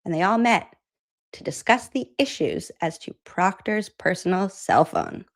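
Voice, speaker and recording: Massachusetts woman hosting commentary, sarcastic and chatty, laughs a lot, middle pitch. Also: animated, a little slow. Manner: sarcastic, incredulous. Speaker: host. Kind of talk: political commentary vlog.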